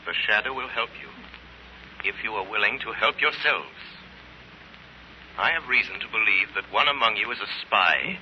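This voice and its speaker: An actor playing a mysterious unseen avenger in a 1930s radio drama, speaking in formal, commanding declarations. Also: male voice, on the low side, lively intonation, bright, forceful tone, highs cut off. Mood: grave, ominous. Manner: formal, authoritative, measured declarations. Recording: thin, noisy 1930s radio recording.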